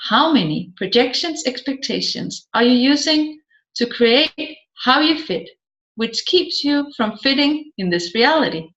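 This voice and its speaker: Female audiobook narrator, unhurried deliberate delivery, emphatic questions, medium pitch, slightly dull recording. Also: lively intonation, a bit bright. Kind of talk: scripted audiobook narration of a seminar transcript. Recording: slightly dull, roomy echoing sound.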